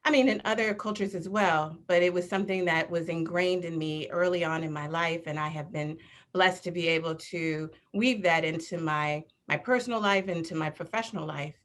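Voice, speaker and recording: A woman from Detroit, a panelist introducing herself on a video call in a gracious, measured way. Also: on the low side.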